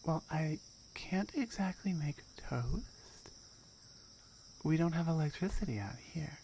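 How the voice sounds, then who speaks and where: Low, firm tiger girl, voiced by a woman. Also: expressive, takes it slowly, mellow, a little hiss or hum, full character voice. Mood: firm, guarded. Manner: firm, wry, matter-of-fact. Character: firm, dominant tiger girl guarding her jungle territory. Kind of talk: ASMR roleplay monologue.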